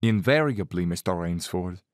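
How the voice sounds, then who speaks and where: An actor playing a suave, cold-blooded Cossack general who loves the hunt, speaking with urbane condescension in an audio drama. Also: male voice, deep voice, animated, dry recording, studio quality. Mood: blandly relishing. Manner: urbane, bland, condescending, theatrically unhurried. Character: suave, cold-blooded aristocratic Cossack general, fanatical big-game hunter.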